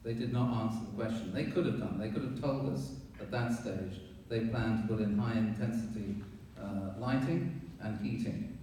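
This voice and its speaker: A man speaks up at a town hearing, pointed and accusatory, pausing on fillers as he lists his charges. His voice has a dark, mellow timbre. It is picked up from afar in a very echoey hall with faint hiss. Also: on the low side, far from the mic. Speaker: resident giving public comment. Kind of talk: public comment at a town board hearing. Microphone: room mic from a distance.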